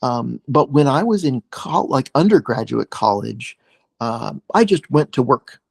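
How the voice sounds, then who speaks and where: A man's voice: medium pitch, animated, a little slow, vocal fry, darkish tone, husky, no echo, clean studio sound. An American man in his forties from Oregon, a podcast guest chatting casually over a video call, rambling with many fillers and restarts. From Oregon, USA. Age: 40s. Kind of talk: casual conversation.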